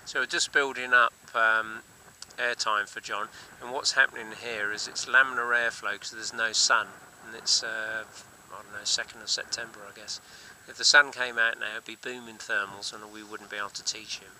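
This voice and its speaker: Bright-voiced paragliding instructor outdoors. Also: male voice, lowish voice, steady tone, moderate pace, a little hiss or hum, rough recording. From England. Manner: coaching over radio, calling out instructions. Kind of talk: commentary while flying. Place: outdoors on a hilltop and in flight.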